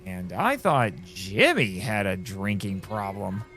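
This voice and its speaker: A man playing a sardonic crash survivor in an audio drama, narrating his own story with wry, theatrical, deliberate delivery. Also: lowish voice, wildly swooping pitch, a bit bright, long reverb tail, heavily acted. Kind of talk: first-person audio drama narration and dialogue.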